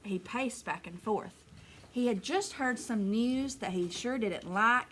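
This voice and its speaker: A woman reading a children's storybook aloud in an animated way, pausing to put questions to her young listeners. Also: fairly high pitch. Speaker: elementary school teacher reading aloud.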